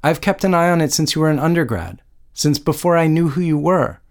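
A man's voice: on the high side, fairly quick, pitched above the performer's own voice, lightly acted. A man voicing an older woman academic in a story, a doting mother who is earnest, persuasive and placating. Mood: pleading.

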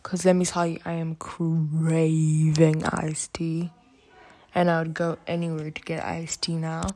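Slow-talking Ugandan schoolgirl with American-sounding accent. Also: sits low, completely flat delivery, warm tone. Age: about 12 to 13, grade seven. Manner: chatty, giggly, goes off on tangents. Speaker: vlogger. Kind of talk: casual rambling chat to camera. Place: school hostel room.

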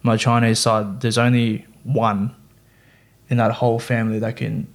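A man's voice: mid-range voice, flat delivery, moderate pace. A young man, a podcast guest, talking casually and rambling through fillers and restarts. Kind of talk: casual chat.

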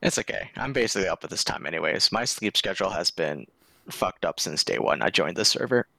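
Young american guy, low and gravelly, super laid-back, says like a lot. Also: very animated, fairly quick, pushed and bright, dry recording. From United States. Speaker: podcast guest. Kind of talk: casual interview chat.

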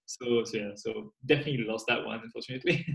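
A young man, a native French speaker with a French accent, answering a podcast host's questions in a thoughtful, measured way. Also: mid-range voice, gravelly, echoey room, poor audio. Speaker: podcast guest. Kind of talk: casual podcast interview.

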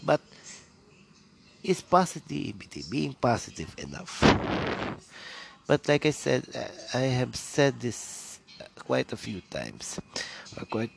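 A man's voice: medium pitch, very animated, slow, vocal fry, long pauses, background noise. A Filipino man whose first language is Tagalog, hosting a solo podcast in English, rambling with many fillers and restarts. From Philippines. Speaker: podcast host.

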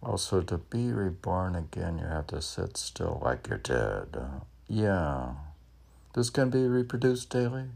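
A man in his sixties rambling alone between lines of his own poetry, halting, questioning himself and repeating phrases. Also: low voice, big pitch swings, vocal fry, darkish tone, husky. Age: 60s. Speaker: poet reading own work and commenting. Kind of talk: rambling solo monologue mixed with poem reading.

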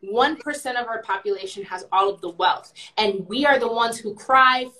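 Bright, passionate woman arguing a point. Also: fairly high pitch, moderate pace, low-quality recording. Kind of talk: casual chat.

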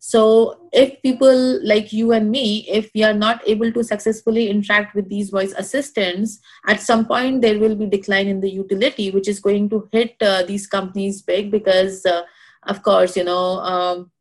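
A woman with a strong Indian accent, a guest answering interview questions, talking loosely and filling pauses with "uh" and "you know". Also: mid-range voice, flat delivery. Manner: many fillers, thinks aloud with "you know" and "uh". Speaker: guest expert. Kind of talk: casual conversational interview.

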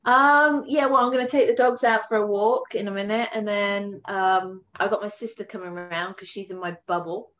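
A woman's voice: medium pitch, fairly even intonation, moderate pace, few pauses, fairly bright tone. A woman tattoo artist tells stories in a casual, sweary chat, laughing as she goes. Her mid-range voice comes through a slightly dull, muffled recording.

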